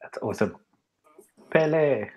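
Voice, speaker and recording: A man's voice: medium pitch, a little slow, highs cut off. Easygoing man hosting a live stream. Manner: relaxed, stumbling over words. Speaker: host and moderator. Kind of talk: casual live stream chat.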